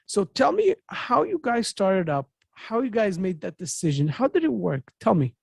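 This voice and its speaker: An energetic middle-aged man hosts a podcast interview, his voice sitting fairly high and sounding close and dry into a studio mic. He talks with eager, repetitive phrasing and loose grammar. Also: moderate pace, creaky, slightly rough, no echo. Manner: excitable, repetitive, non-native phrasing. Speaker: podcast host. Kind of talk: enthusiastic interview chat. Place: home office with bookshelves. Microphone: studio mic on boom arm.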